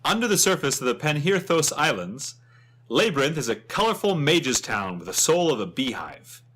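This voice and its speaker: A man's voice: on the high side, big pitch swings, normal speed, fairly bright tone. A man playing a chatty, wryly humorous travel writer, narrating a fantasy guidebook with theatrical expression. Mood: enthusiastic, descriptive.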